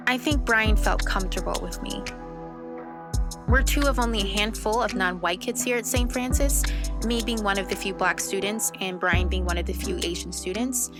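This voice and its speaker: An actress playing a reflective teenage girl sleuth, narrating her own story in an audio drama in a measured, confiding way. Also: mid-range voice, natural voice. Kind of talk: first-person scripted drama narration. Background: loud music bed.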